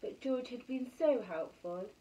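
A woman in her thirties reading a picture book aloud for children, expressive and full of exclamations and questions. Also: fairly high pitch, a little slow. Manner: animated, exclaiming, asking rhetorical questions. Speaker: primary school bedtime story reader. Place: small room at home. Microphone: camera a metre or two away. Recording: slightly distant phone camera recording.

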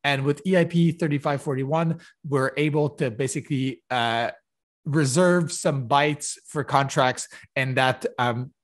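A man giving a technical keynote over a video call, halting with many fillers and restarts. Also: medium pitch. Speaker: conference keynote speaker. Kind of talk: technical conference talk. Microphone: video call webcam.

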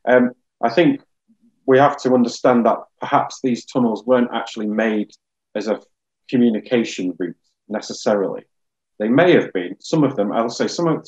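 A man in his thirties explaining science informally and in a measured way, as a guest geologist on a webinar. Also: lowish voice, darkish tone, muffled recording. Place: small plain room indoors. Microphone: video call.

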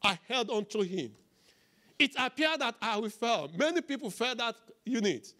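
A middle-aged man preaching a sermon as a pastor, emphatic and deliberate, in short repeated phrases into a handheld microphone. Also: extremely high pitch, very animated, sharp tone. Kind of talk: sermon with testimony and scripture. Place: church auditorium, on stage before a congregation.

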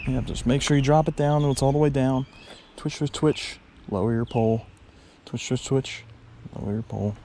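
A man narrating his fishing trip by a lake, talking casually and getting loud and excited when fish bite, recorded on a head-mounted camera. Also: mid-range voice, moderate pace. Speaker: fishing vlogger. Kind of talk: casual outdoor commentary. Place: outdoors by a lake below a dam. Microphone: head-mounted action camera. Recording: faint outdoor noise.

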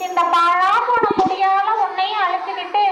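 A woman's voice: very high-pitched, level tone, normal speed. A woman voicing a weary, emotional village wife and mother in a live puppet show, scolding and lamenting. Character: weary wife and mother, Vimala, middle-aged. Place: outdoor stage performance. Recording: echoey, dull, faint hiss.

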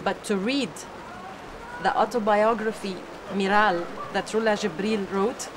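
A young woman from Lebanon, an actress, giving earnest, deliberate interview answers. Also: medium pitch, steady tone, noisy background.

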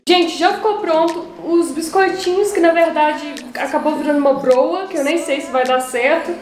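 Chatty high-voiced Mineira woman cooking. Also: normal speed, a little mellow, reverberant, soft background music. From Brazil. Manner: exasperated, chatty, talks to viewers while cooking. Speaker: channel host. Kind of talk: casual cooking vlog chat. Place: home kitchen, indoors.

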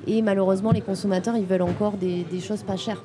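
A woman's voice: medium pitch, fairly even intonation, fast talker, a crowd in the background. A woman hosting a live radio interview on an outdoor terrace, introducing her guests and asking friendly, leading questions. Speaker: radio host. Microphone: table mic on stand.

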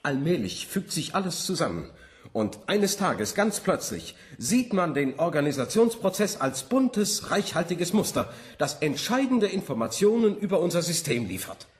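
An actor playing a pedantic, prim, earnest bureaucrat devoted to rules, precise and long-winded in a radio play. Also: male voice, on the high side, sing-song, normal speed. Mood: rapturous earnestness. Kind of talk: German radio play acting.

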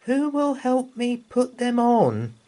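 A man voicing a small anxious little girl in a storybook, in a timid, high voice. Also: huge pitch swings, takes it slowly, mellow, exaggerated voice.

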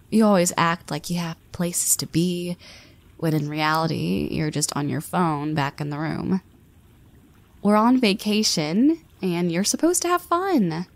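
A woman's voice: on the low side, gravelly, exaggerated voice. A woman voicing a confident young woman who teases her friend, with playful half-whispered asides. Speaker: voice actress. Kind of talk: scripted audio roleplay.

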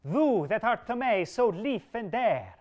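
A man's voice: high-pitched, slow pace, a little mellow, dull audio. A man reading as a grave, commanding old king. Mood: stern. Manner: solemn, archaic speech, sharpening to stern commands. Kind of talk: reading a medieval tale aloud.

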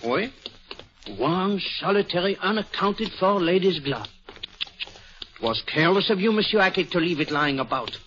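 An actor playing a fussy, clever Belgian detective with a French accent, in theatrical 1940s radio acting. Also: male voice, high-pitched, moderate pace, slight background noise. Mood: probing. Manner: theatrical, lively, coaxing and ironic. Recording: dull old radio broadcast.

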